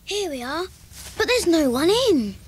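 A woman's voice: high-pitched, expressive, a little slow. A woman playing an eager young child in a gang, in a children's audio drama. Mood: curious.

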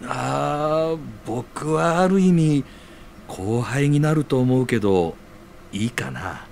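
An actor playing a gentle, considerate, friendly senior colleague in an audio drama, choosing soft, calming words. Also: male voice, mid-range voice, slow pace, gravelly. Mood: tentative. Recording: audible background noise.

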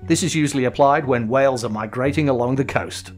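Middle-aged guy talking through scuba hand signals, calm and clear, quiet music under it. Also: medium pitch, fast. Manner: calm explanatory, acts out signals as quoted lines. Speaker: host. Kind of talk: scripted voice-over instruction.

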